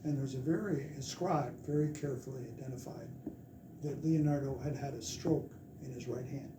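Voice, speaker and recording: A man lays out his reasoning step by step in measured seminar talk. He is heard from a distance in a roomy lecture space. Steady background noise runs beneath his voice. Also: medium pitch. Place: lecture room. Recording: echoey, far from the mic, noisy.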